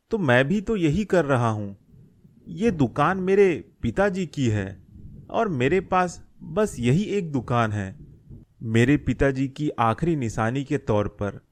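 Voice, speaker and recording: A man's voice: fairly high pitch, sing-song, slow. A man voicing a stubborn, sentimental old grandfather shopkeeper who explains patiently in a cartoon story. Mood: earnest. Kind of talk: Hindi moral cartoon story dialogue.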